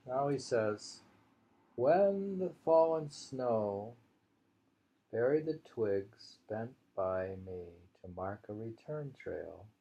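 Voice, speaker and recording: Male poetry reader, low-pitched and husky, unhurried and musing, with informal asides between verses. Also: mellow, lo-fi sound. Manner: halting, musing, thinking aloud. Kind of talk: poetry reading with informal commentary.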